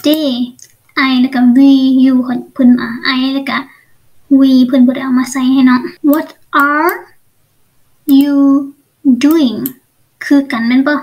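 A woman teacher with a Lao accent and a high voice gives an online English lesson. She speaks very slowly, going step by step and often checking with short questions that the learner follows. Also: fairly even intonation, a little mellow, low-quality recording.

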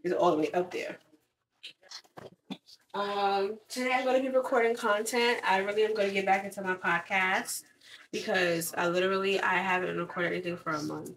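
A woman's voice: medium pitch, fairly even intonation, normal speed, a bit bright, slight background noise, low-quality recording. A young woman, congested from covid, chatting bluntly and swearing freely in a casual vlog. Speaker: vlogger.

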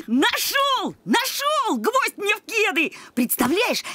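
A woman voicing an exuberant, football-mad child in an animated cartoon, gushing and exclaiming excitedly. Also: very high-pitched, very slow, no echo, heavily acted. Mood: overjoyed.

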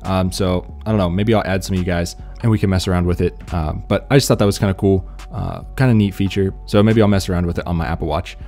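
A man's voice: low-pitched, fairly even intonation, quick pace, hardly any pauses, mellow, faint music behind. A man giving casual, laid-back tech news commentary in an informal, slangy way.

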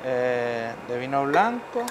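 A man's voice: mid-range voice, big pitch swings, slow. A middle-aged man, a chef, walking through a dish step by step in a professional kitchen, often checking in with his listener. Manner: explains steps, checks in with "vale". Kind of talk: cooking demonstration. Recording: noisy kitchen ambience.

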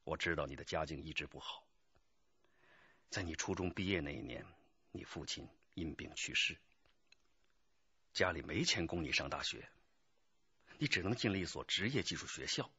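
An actor playing a stern, earnest young police detective lecturing a suspect in a radio drama. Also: male voice, extremely low pitch, lively intonation, moderate pace, pauses often, sharp tone, breathy edge, heavily acted. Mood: grave, sympathetic. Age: 20s. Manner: emphatic, lecturing. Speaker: police interrogator. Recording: dull, muffled lo-fi audio.

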